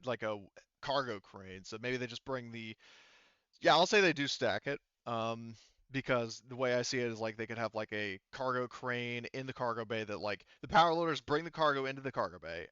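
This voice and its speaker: A man running a tabletop roleplaying game, explaining casually and thinking out loud as he goes, often repeating himself. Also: mid-range voice, expressive, a little fast, smooth, no room sound, highs cut off. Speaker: game master.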